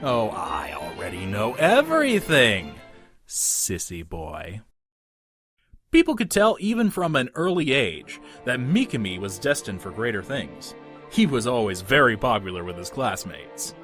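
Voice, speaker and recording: A man's voice: lowish voice, wildly swooping pitch, a little slow, a bit bright, full character voice. A man playing a smug, mocking narrator of a cartoon comedy documentary, grandiose and sardonic. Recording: music behind the voice.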